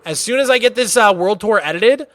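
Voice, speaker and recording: A fairly high-voiced man from Massachusetts talks his way through a game-world tour on a livestream, loose and chatty, with "like" dropped all through his sentences. The sound is dry and close, right up on a desk mic. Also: brisk, no echo. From Massachusetts, USA. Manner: relaxed and chatty, many "like" fillers. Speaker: streamer. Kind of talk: casual livestream commentary, touring a game world.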